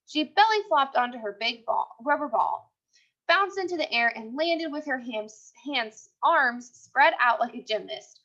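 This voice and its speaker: A woman's voice: sits high, measured pace. A woman reading a children's mystery story aloud expressively, acting out the dialogue. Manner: animated, acts out the characters' dialogue. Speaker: storybook reader. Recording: dull, lo-fi sound.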